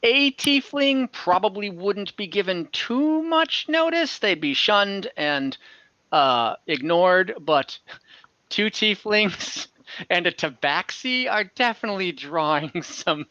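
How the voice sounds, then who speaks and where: A man improvising game master narration in a halting, informal, jokey way over a video call. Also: sits high, very animated, sharp tone, no echo. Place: indoors at home on a video call. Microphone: computer or headset mic on a video call. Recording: dull, compressed video call audio.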